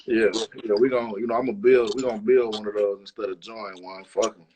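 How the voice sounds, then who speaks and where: A man's voice: on the low side, little pitch movement, brisk, crowd noise. A man chats casually as an interview guest, using heavy slang and profanity and repeating himself for emphasis. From Chicago, United States. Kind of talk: casual chat.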